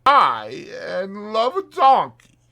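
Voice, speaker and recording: A man voicing a shrewd, gruff horse dealer in a storybook, with a careless, terse, drawn-out delivery. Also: high-pitched, huge pitch swings, pushed and bright, pitched above the performer's own voice, heavily acted. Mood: careless, teasing. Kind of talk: dramatized storybook reading.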